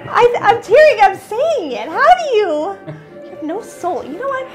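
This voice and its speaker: Teasing, lively woman co-host. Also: very high voice, over music. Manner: teasing, animated, quick to laugh. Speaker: podcast co-host. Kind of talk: casual chat.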